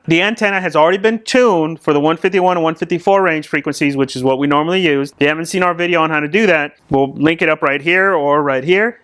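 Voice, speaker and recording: A man's voice: high voice, fairly bright tone, dry recording. A man hosting a vehicle install video, talking casually and explaining to the viewers in an informal, conversational way. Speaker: host of an off-road vehicle channel. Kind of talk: casual install tutorial to camera.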